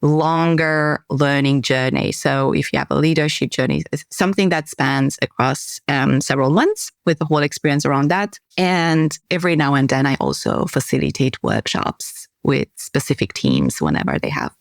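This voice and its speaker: A woman's voice: a bass voice, animated, vocal fry, no room sound, studio quality. A woman from Romania, a consultant guest on a podcast, talking in a friendly, thoughtful way over a remote call. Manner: friendly, thoughtful, some filler pauses. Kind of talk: conversational podcast interview.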